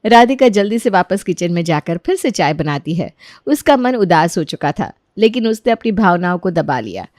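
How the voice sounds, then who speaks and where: A woman's voice: lowish voice, sing-song, moderate pace, darkish tone, dry recording, clean studio sound. A woman reading scripted narration as the storyteller of a moral tale.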